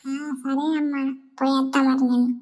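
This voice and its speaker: A woman's voice: high-pitched, little pitch movement, moderate pace, a little mellow, natural voice. A woman voicing a stubborn, whiny young schoolboy in a comedy cartoon, pleading dramatically in Telangana Telugu. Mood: happy, eager.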